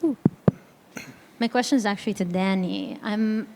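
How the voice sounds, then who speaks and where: A woman whose first language is Arabic, asking a panel a polite, halting question from the audience. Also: medium pitch, mellow. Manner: polite, hesitant, restarts and apologizes. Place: hall at a symposium. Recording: long reverb in a hall, faint noise.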